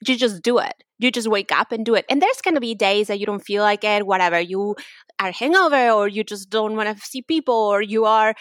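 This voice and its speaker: A woman's voice: on the high side, a bit bright, dry recording, clean studio sound. A young woman from Mexico with a Mexican accent, chatting openly as a podcast guest, laughing often and restarting her sentences. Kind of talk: casual interview chat.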